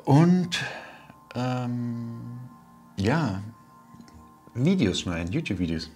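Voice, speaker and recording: A man in his forties casually talking through a video diary, unhurried and thinking aloud with pauses, on a clip-on mic. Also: mid-range voice, big pitch swings, dark tone, soft background music. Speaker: vlogger, audio drama maker. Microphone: wireless clip-on lavalier.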